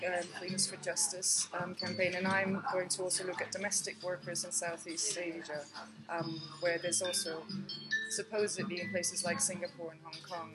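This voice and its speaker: A woman from Denmark, a professor, answering interview questions in a tea room in a thoughtful, measured way with many fillers. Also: fairly low pitch, level tone, loud music behind the voice, poor audio. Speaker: professor, guest. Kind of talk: podcast interview.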